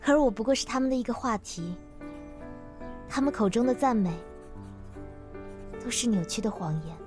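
A woman's voice: a little high, animated, normal speed, music in the background, close to an everyday voice. A woman playing a reserved, candid young office secretary in a modern audio drama, earnest and guarded in manner.

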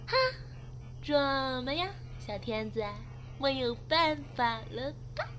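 A woman's voice: high-pitched, lively intonation, slow, a bit bright, slight background noise, exaggerated voice. An actress playing a feisty, teasing young girlfriend in a Mandarin radio drama. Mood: playful, bossy. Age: young adult. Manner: teasing, mock-threatening. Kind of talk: Mandarin radio drama acting with first-person narration. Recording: music behind the voice.

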